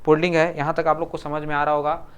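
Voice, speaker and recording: Clear-voiced Hindi teacher from Chhattisgarh. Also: male voice, on the high side, monotone, quick pace. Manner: repeats words and asks the class to confirm. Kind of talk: exam-prep grammar lesson.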